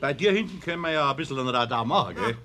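A man's voice: mid-range voice, expressive, racing, bright, forceful tone. An actor playing a jovial, teasing craftsman with a Bavarian accent in a radio play, hearty and persuasive. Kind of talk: 1970s radio play acting.

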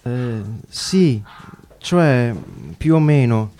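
A man's voice: medium pitch, lively intonation, drawn-out words, mellow. A man playing Luca, a polite but awkward guest who hesitates and stammers, in a radio play. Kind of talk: radio drama acting.

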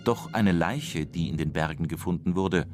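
A man's voice: low voice, moderate pace. A man reading scripted narration in the manner of a story summary. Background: music.

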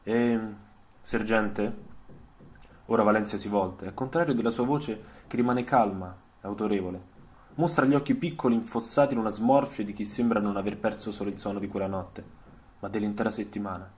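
A man's voice: on the low side, quick pace. A man narrating a historical story for radio in a literary, scripted storytelling style. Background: soft musical soundtrack.

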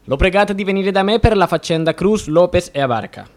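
A man's voice: high-pitched, animated, a little fast. A man acting in a radio drama as a probing, wry and exasperated judge who hems and haws as he questions. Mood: courteous, probing.

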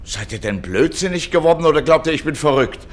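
A man's voice: a little high, animated, quick pace, natural voice. A man playing an officious, flustered station director in an old radio play, formal, agitated and exasperated.

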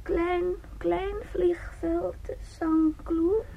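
High, creaky, drugged young woman. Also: little pitch movement, highs cut off, a little hiss or hum, exaggerated voice. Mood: dazed, whimpering. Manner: slurred, halting, whimpering, trailing off. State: very sleepy, sedated. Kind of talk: radio play acting.

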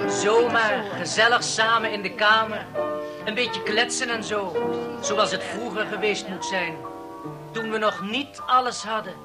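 An actress playing a bored, discontented youngster in a 1960s Dutch radio play. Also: female voice, mid-range voice, fairly even intonation, unhurried, natural voice. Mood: wistful. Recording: 1960s radio recording under loud music.

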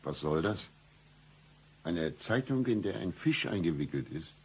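An actor in a comic radio play, playing a sardonic private investigator with a deadpan, slightly husky delivery. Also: male voice, low-pitched, unhurried, heavily acted. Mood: dry.

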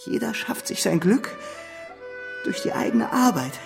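A man's voice: high-pitched, very animated, a little slow, creaky, heavily acted. Dying male visionary: frail, whispery, badly cracked voice, pleading radio-play delivery, faint music. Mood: feverish, visionary. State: weak, gravely ill.

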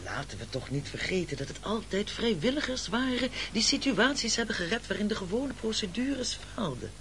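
An actor playing an unctuous, manipulative castaway orator in a radio play, grandiloquent and ingratiating. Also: male voice, high-pitched, big pitch swings, moderate pace, fairly bright tone, slight background noise, full character voice. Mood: solemn flattery. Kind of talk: theatrical radio play acting.

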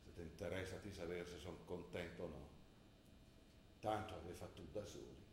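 A man acting a gruff older father from the mountains, with a Trentino accent and dialect-laced, terse talk, in a staged theatre drama. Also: low voice, fairly quick, natural voice. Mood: hurt, cold. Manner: dialect-laced, teasing then withdrawn and terse. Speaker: stage actor. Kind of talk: theatre drama broadcast on radio. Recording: roomy stage sound with faint noise.

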